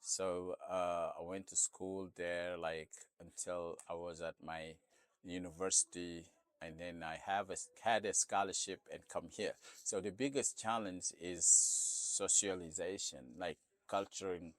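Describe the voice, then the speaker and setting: A man's voice: low-pitched, fairly even intonation, unhurried, dry recording. A man from Senegal with a Senegalese accent, a non-native English speaker, answering casually in an interview and often adding "you know". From Senegal, West Africa. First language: not English. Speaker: store owner being interviewed.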